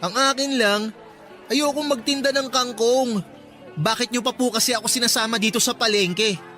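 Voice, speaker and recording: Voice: mid-range voice, moderate pace, sharp tone, soft background music, lightly acted. An actor playing a resentful, whining young son who talks back to his mother in a Tagalog radio drama. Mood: whining, defiant. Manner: complaining, talks back, sulky.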